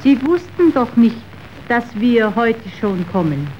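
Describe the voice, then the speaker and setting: An actress playing an earnest, apprehensive young woman in a radio drama, grave and deliberate in delivery. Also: fairly high pitch, sing-song. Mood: apprehensive, gentle. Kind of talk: scripted radio drama acting. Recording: dull, faintly hissy old radio recording.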